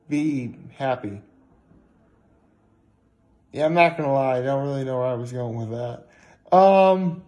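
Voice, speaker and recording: A man with a somewhat high voice talks as a casual daily vlogger. He sounds tired and speaks in a halting, meandering way, often restarting and circling back to the same point. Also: warm tone. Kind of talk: casual rambling vlog monologue.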